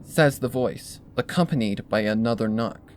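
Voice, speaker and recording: A man's voice: medium pitch, normal speed. A man reading a scripted first-person horror fan story as its narrator. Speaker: fan fiction reader.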